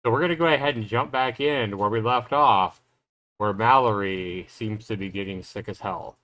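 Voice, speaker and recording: A man's voice: fairly low pitch, moderate pace, a bit bright, no room sound. A man hosting a livestream, recapping a visual novel in a chatty, informal way. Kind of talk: casual livestream recap, then reading game text aloud.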